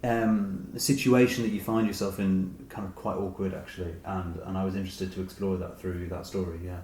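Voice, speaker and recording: A man giving reflective, conversational answers as an author being interviewed, often softening his points. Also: deep voice, flat delivery, brisk. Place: indoor room with echo. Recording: echoey room, some background noise.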